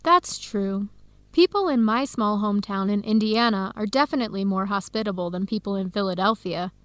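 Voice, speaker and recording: An actress playing an earnest young American woman researcher in a radio drama, with clear, expressive, carefully enunciated speech. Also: mid-range voice, brisk, no room sound, close to an everyday voice. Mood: enthusiastic. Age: young adult. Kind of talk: scripted radio drama dialogue.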